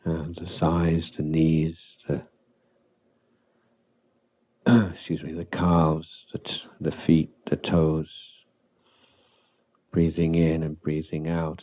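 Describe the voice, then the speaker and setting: A man with a very low, even voice leads a guided meditation as a teacher. He speaks calmly in short phrases, leaving long pauses between them. The recording is a little dull. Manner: calm, unhurried, halting with long pauses. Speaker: Buddhist monk, meditation teacher.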